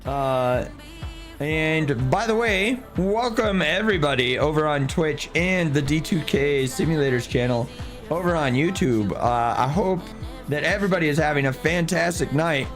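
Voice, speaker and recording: A hoarse man who has nearly lost his voice, chatting casually with viewers and a teammate while livestreaming a game. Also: a little high, moderate pace, faint music behind. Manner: chatty, greets viewers by name. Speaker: streamer playing a driving sim. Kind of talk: casual live-stream chat.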